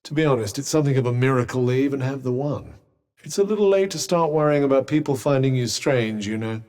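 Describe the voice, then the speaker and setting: A man's voice: medium pitch, lively intonation, quick pace, darkish tone, natural voice. An actor playing a sarcastic, condescending male talking bracelet in a game, speaking in dry, drawling asides. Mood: sardonic. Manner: drawling, faintly mocking asides. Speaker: game character. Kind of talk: game voice lines.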